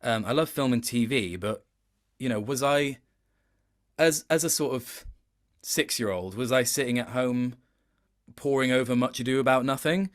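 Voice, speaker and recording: A man chatting as an interview guest about his work, reflective, often restarting and correcting himself mid-sentence. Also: mid-range voice. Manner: reflective, self-correcting, halting phrasing. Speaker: interview guest, video game voice actor. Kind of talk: casual interview chat.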